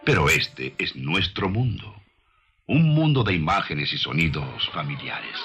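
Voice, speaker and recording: A man narrating a scripted documentary in a solemn, measured, rhetorical manner. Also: rumbling low, sing-song, music in the background, a crowd in the background.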